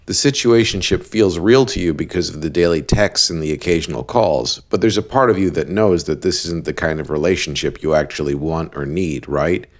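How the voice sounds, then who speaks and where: A man playing a blunt, deadpan cab driver who gives relationship advice in an animated series. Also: low voice, brisk, few pauses. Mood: blunt, analytical.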